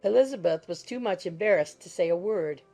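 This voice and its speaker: A woman's voice: lowish voice, expressive, fairly quick, gravelly, dark tone, faint music behind. A woman reading scripted literary narration from a classic novel as an audiobook narrator.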